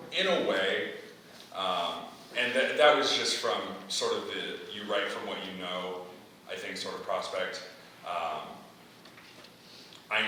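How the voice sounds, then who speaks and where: A young man leading a feedback discussion as a playwright, halting as he searches for words. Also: sits low, pushed and bright. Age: young adult. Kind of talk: discussion, answering audience feedback. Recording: echoey hall, distant mic, faint noise.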